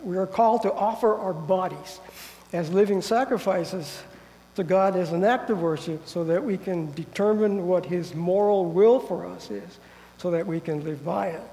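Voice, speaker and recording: A man's voice: high voice, moderate pace, mellow. Nasal, congested man preaching. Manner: careful, earnest delivery with frequent throat clearing. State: stuffy nose from a head cold. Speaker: guest preacher. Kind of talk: sermon with Bible reading. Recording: long hall reverb.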